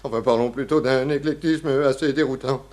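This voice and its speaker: A man's voice: medium pitch, fairly even intonation, brisk, darkish tone, lightly acted. A man playing a nervous, gossipy, eager-to-please witness in a 1960s French radio mystery, stammering and repeating his words. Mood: embarrassed, gossipy.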